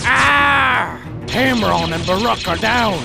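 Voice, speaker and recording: Panicky villain goon, high super creaky voice, loud music behind. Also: male voice, animated, slow, heavily acted. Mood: panicked. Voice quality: very creaky, strained. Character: panicky, high-pitched space raider henchman. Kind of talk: comic dub villain acting.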